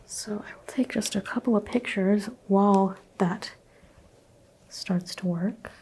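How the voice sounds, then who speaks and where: A woman whispering gently as a doctor in an ASMR roleplay, guiding a patient through a skin exam. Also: medium pitch, measured pace, slight background noise. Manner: soft whispering, gentle step-by-step instructions. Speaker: ASMR creator playing a doctor.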